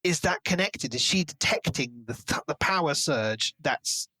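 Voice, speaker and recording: A man co-hosting a casual book discussion, speculating in an expressive, wordy way. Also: on the high side, measured pace, no room sound. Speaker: podcast co-host discussing a novel. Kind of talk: casual book-club chat.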